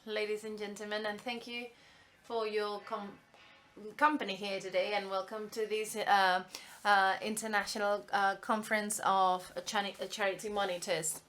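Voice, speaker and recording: A woman giving a live simultaneous interpretation of a conference welcome speech, halting often with fillers and restarts. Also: medium pitch, flat delivery, a bit bright.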